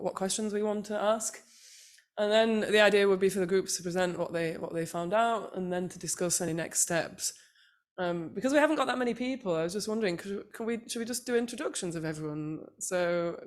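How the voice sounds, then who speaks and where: A woman chairing a small workshop session, talking casually with many restarts. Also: medium pitch. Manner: chatty, hesitant, with many restarts. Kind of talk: casual meeting talk.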